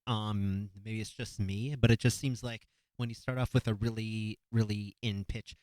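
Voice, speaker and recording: A young man with a naturally low voice picks apart a performance in easy, halting commentary, full of "um" and restarts. The sound is dry and close, with no room around it. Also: dry recording. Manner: relaxed, hesitant, many fillers and restarts. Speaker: beatbox battle analyst. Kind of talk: casual commentary over a video.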